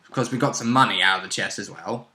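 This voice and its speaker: Male tabletop player, informal and chipping in, crisp-edged bright voice, noticeable room echo. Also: fairly low pitch, fast talker. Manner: informal, interjecting. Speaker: player in a tabletop role-playing game. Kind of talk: casual chat, recounting game events. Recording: echoey room.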